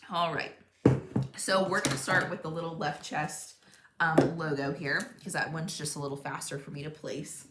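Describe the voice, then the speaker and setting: A young woman hosting a live crafting stream from her home craft room, chatting casually, thinking aloud, laughing often and reading out viewer comments in a loud voice. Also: sits low, steady tone, moderate pace, roomy sound, heavy background noise. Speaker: crafting livestream host. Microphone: tablet mic across the room.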